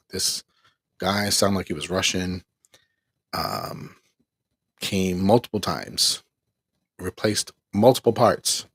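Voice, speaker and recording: Husky-voiced man telling a rambling story. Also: low-pitched, fairly bright tone, no room sound, studio quality. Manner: candid, rambling, laughs between complaints. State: tired. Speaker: online ministry host. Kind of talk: casual personal testimony. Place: home.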